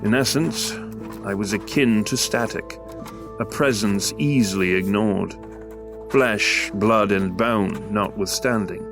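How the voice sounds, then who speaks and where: A man's voice: on the low side, slow. An actor playing a melancholy failed writer in his thirties, reciting his diary in formal, florid audio drama style. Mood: bitter, resigned. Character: melancholy, self-deprecating failed male writer of thirty-five. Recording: music under the voice. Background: music.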